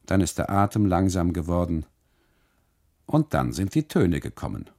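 A man's voice: deep voice, sing-song, normal speed, creaky, a little mellow, heavily acted. A man voicing a suspicious, scolding Italian custodian in a radio play, pointed and insistent in his reproaches. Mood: stern reproach.

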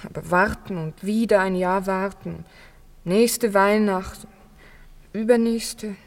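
An actress playing a poor young daughter, loving, playful yet earnest, in a 1950s German radio play with expressive delivery. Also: female voice, mid-range voice, takes it slowly. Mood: pleading, earnest. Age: young adult.

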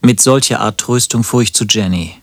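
An actor playing a wry, lovelorn antihero who tells his own story in a radio drama, deadpan and literary. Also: fairly low pitch, normal speed, no room sound, studio quality, lightly acted. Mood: wistful, wry. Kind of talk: radio drama narration and dialogue.

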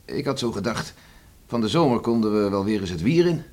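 A man's voice: fairly low pitch, lively intonation, a little fast, a little mellow. A man playing a cheerful, coaxing island farmer and father in a radio drama, folksy and reassuring. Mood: coaxing, upbeat. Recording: noisy old radio play recording.

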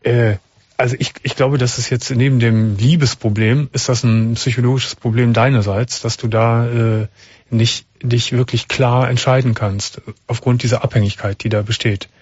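A man hosting a late-night radio call-in show, calmly asking questions and giving advice. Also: mid-range voice, normal speed, slightly rough, dry recording. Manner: calm, probing, interrupts to clarify. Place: radio studio. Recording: dull broadcast radio sound.

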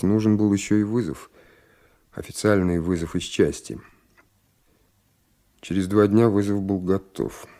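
A young naval lieutenant in a radio drama. He is earnest and eager, with a moderately low male voice. He speaks as someone sincerely telling his own story. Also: warm tone. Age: young adult. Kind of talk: radio play acting with reflective first-person narration.

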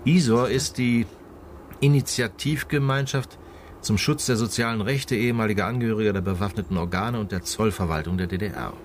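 An actor playing a sober investigator, asking measured, formal questions in a naturalistic radio drama. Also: male voice, on the low side, slight background noise, lightly acted. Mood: probing. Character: male investigator, sober and probing.

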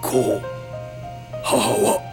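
An actor playing a stern, middle-aged swordsmith father in an anime drama, gruff and emotional. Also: drawn-out words, music in the background. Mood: dying, strained. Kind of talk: anime voice acting.

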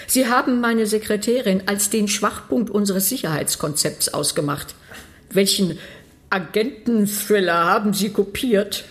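An actress playing a senior European official, curt and dryly mocking. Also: female voice, medium pitch, a little slow, natural voice. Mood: brusque, sarcastic. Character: brusque, sarcastic high-ranking female bureaucrat. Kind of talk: radio drama acting.

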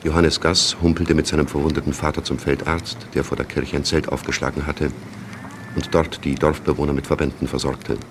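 A man narrating a radio play as a sober chronicler of wartime events, measured and factual. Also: a bass voice, flat delivery. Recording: clear background noise.

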